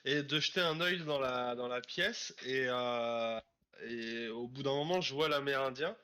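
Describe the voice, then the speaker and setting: A man playing a tabletop role-playing game, casually describing his actions in a tentative, halting way. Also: mid-range voice, pushed and bright, smooth.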